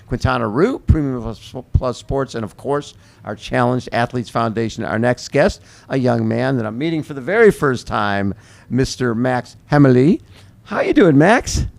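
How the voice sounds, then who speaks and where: A man's voice: mid-range voice, lively intonation, moderate pace, creaky, few pauses. A man hosting a casual interview show in a hotel, chatty and joking, often summing up his guest's answers.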